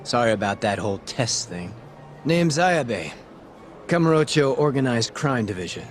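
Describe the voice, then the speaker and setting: An actor playing a smug, corrupt police detective who sells information, breezy and teasing, in an English video game dub. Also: male voice, mid-range voice, sing-song, moderate pace, soft background music, lightly acted. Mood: breezy, cocky. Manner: breezy, cocky, wry, teasing.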